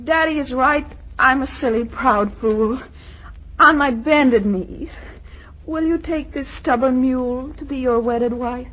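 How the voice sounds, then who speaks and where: A woman's voice: high-pitched, a little slow. A woman playing a stubborn, proud, emotional young bride-to-be in an old-time radio comedy. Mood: contrite. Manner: theatrical, emotional. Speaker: star of radio play. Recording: old radio recording, faint noise.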